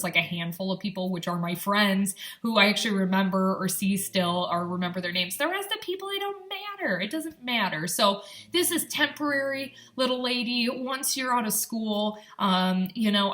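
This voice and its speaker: Bright, upbeat Michigan woman vlogging. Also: medium pitch. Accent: Upper Midwest American. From Michigan, USA. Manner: upbeat, encouraging, chatty with many fillers. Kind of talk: casual motivational vlog.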